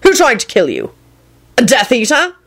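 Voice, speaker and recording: A woman's voice: a little high, wildly swooping pitch, normal speed, a bit bright, voice pushed up, exaggerated voice. A woman voicing an exasperated, furious male boss in a story, ranting with sarcasm and profanity. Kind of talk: dramatic storytelling of fan fiction.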